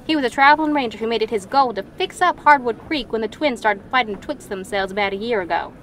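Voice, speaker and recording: A woman's voice: a little high, fairly bright tone, natural voice. A woman playing a plain-spoken, folksy Wild West townsperson in an audio drama, with some background noise. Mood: gossipy. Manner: folksy, drawn-out idioms. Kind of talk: western audio drama acting.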